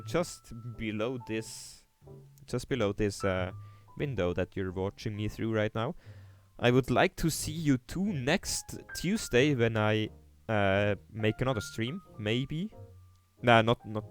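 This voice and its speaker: A young man with a Swiss German accent chats through a city-building livestream, full of fillers and restarts. His voice sits at a middling pitch, and faint music plays quietly behind him. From Switzerland. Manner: hesitant, many fillers and restarts, reads chat aloud. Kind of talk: casual gameplay livestream commentary.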